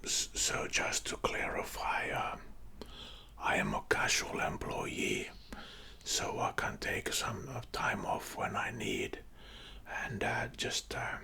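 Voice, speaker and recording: A man from Finland with a Finnish accent whispering a casual personal story in ASMR style, in a halting, hushed manner. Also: on the low side, wildly swooping pitch, gravelly, husky. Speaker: ASMR video creator and support worker.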